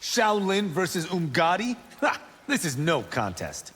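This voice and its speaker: An actor playing a boastful, brash young warrior monk in video game banter. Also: male voice, high voice, very animated, unhurried, fairly bright tone, full character voice. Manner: proud, brash, self-assured. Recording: long hall reverb, clear background noise.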